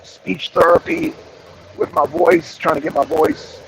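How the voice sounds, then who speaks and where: Raspy high-voiced man streaming live. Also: huge pitch swings, measured pace, a bit bright, a little hiss or hum, poor audio. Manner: rambling, repeats phrases, greets viewers by name. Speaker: streamer hosting a wrestling show. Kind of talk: casual live-stream talk to chat.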